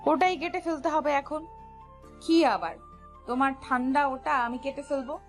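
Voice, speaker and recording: A woman's voice: high voice, steady tone, moderate pace. A woman playing a playful, impatient newlywed bride in a romantic audio story, teasing and exasperated. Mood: insistent. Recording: music bed under the voice. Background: music.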